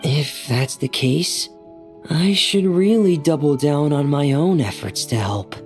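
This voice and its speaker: An actor voicing a gentle, earnest young boy diver in a video game, polite, subdued and a little hesitant. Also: mid-range voice, takes it slowly. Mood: worried. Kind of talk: video game character dialogue. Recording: faint music behind the voice.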